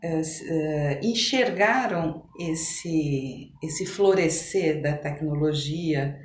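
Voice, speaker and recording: An older woman with a São Paulo Brazilian accent reminiscing as a podcast guest, with hesitant, halting phrasing. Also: sits low. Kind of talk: conversational interview, personal reminiscing. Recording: echoey room with faint noise.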